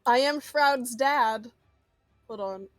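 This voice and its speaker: Playful high-voiced woman in a tabletop game. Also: slow pace, no room sound, clean studio sound, soft background music. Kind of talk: casual, playful game chat.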